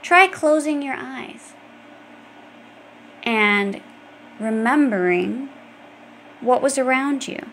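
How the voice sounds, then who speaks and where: A woman's voice: high-pitched, expressive, takes it slowly, long pauses, a bit bright, a little hiss or hum. A woman reading an educational children's book aloud, explaining things directly to young listeners. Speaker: audiobook reader.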